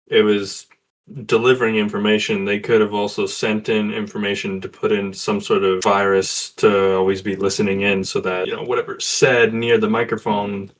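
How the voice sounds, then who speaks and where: A man's voice: fairly low pitch, little pitch movement, normal speed, low-quality recording. A man playing a tabletop game, speculating in casual conversation. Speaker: player in a tabletop roleplaying game.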